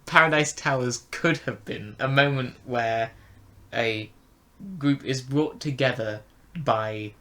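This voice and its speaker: A man co-hosting a casual fan review chat, speaking in a hesitant, halting way. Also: mid-range voice, fairly bright tone. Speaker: podcast review co-host.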